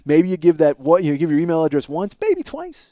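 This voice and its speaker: A man in his forties with a slightly high-pitched voice, delivering a loose comedic monologue. He is animated and emphatic, swears freely, and keeps interrupting himself as he riffs in a casual, chatty way. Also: fairly quick, no room sound. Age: 40s. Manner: profane. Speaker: comedy podcast host.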